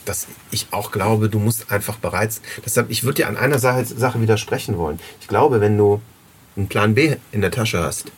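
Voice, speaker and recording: A man's voice: lowish voice, fairly quick. A man interviewing a guest in casual, unscripted conversation, stressing points by repeating words. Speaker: podcast interviewer, runs a voice casting agency. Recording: clear background noise.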